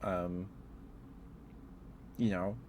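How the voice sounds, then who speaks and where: Male ASMR streamer, lower register, very unhurried, playful rambling delivery, some background ambience. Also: fairly even intonation, darkish tone, a little hiss or hum. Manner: playful, musing aloud with trailing thoughts. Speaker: ASMR livestreamer. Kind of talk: ASMR, casual rambling chat.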